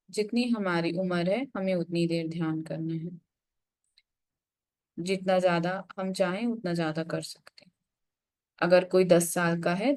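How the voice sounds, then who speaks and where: A woman leading a guided meditation, giving instructions in an unhurried way with frequent pauses. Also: fairly low pitch, a little mellow.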